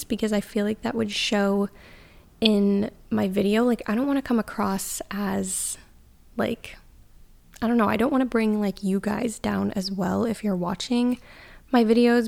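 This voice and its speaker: Young woman podcast host, sleepy morning voice with gravelly fry, chatty and casual. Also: mid-range voice, a little mellow. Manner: chatty, lots of "like" fillers. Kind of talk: casual solo podcast chat.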